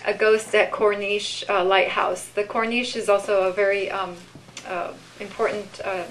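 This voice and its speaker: A woman giving a live poetry reading, with deliberate, measured delivery and informal commentary between pieces. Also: medium pitch, little pitch movement, fairly bright tone, a little hiss or hum, low-quality recording. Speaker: poetry reader.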